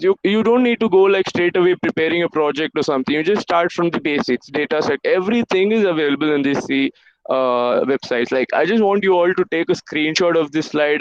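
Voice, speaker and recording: A young man in his twenties, a native Hindi and Urdu speaker, gives a motivational webinar to students and coaxes them along in a fairly high voice. He stops and restarts often with "uh" fillers. The sound is dull and lo-fi, like computer-mic audio on an online call. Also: slight background noise. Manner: halting, with many "uh" fillers, encouraging. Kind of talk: motivational career-advice talk.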